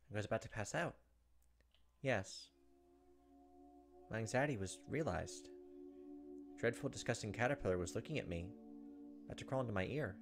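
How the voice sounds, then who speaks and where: A low-voiced man reads visual novel narration aloud in a dramatic, halting way. He sits close to a desk microphone, with faint game music behind him, and adds commentary as a host. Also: creaky. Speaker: gaming video host.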